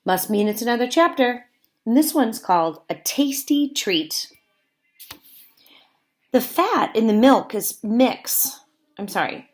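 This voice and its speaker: A woman teacher reading aloud to young children in simple, deliberate phrasing, pausing to explain as she goes. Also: on the high side. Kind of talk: read-aloud lesson with explanatory asides.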